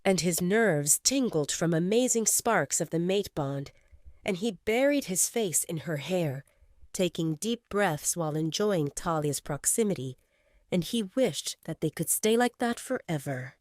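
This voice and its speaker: A woman narrating a scripted audio drama in an expressive, intimate storytelling manner. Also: fairly low pitch, measured pace, no room sound, clean studio sound.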